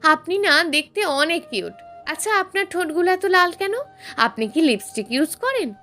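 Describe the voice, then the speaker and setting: A woman's voice: very high-pitched, lively intonation, moderate pace, bright, forceful tone, soft background music, voice pushed up, full character voice. A woman voicing a coy, affectionate young wife in an audio story, with a cutesy, coaxing manner. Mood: playful.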